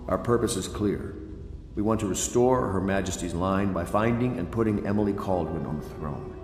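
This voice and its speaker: An actor playing a resolute, grave leader of conspirators in a video game, deliberate and earnest as he briefs. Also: deep voice, a little mellow. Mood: grave, resolved. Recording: echoey hall with long reverb.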